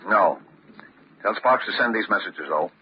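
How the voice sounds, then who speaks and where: A man's voice: lowish voice, expressive, measured pace. A man acting a businesslike ship's captain in an old-time radio drama, authoritative and reassuring. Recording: dull, noisy old radio recording.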